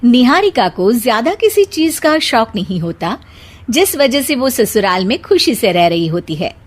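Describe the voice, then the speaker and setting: A woman's voice: on the high side, big pitch swings, a little slow. A woman narrating a scripted cartoon story.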